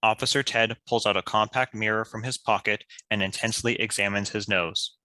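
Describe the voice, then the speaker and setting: A man's voice: lowish voice, fairly even intonation, a little fast, sharp tone, slight background noise. A man reading scripted stage directions as a narrator. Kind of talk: scripted narration of screenplay action.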